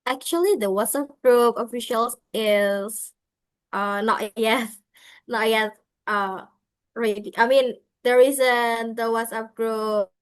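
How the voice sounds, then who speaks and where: A woman explaining things informally as an organizer in an online session, in halting non-native English. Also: on the high side, fairly even intonation, clean tone. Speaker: organizer answering questions.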